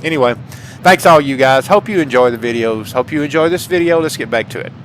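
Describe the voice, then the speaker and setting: A man's voice: mid-range voice, lively intonation, fast talker, a bit bright, low-quality recording. A man hosting a gaming channel chats casually to viewers in an earnest, folksy way, repeating phrases for emphasis. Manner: earnest, heartfelt. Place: indoors at home, paintings behind. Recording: clear background noise.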